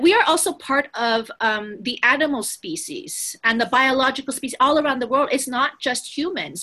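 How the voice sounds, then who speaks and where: A woman with a fairly high, bright voice speaks in an earnest, conversational way. She sounds like a spiritual teacher talking frankly, leaning on her points and swearing casually.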